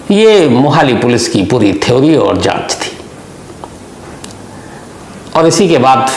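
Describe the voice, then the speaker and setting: A man hosting a true crime story, explaining it deliberately in a gravelly voice and putting questions to his audience. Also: mid-range voice. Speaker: host narrating true crime stories. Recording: echoey room, faint background noise.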